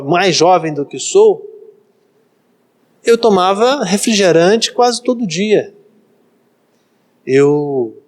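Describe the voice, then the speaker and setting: A man in his thirties, a pastor from Brazil, preaching a sermon and repeating phrases for emphasis. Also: high voice. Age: 30s.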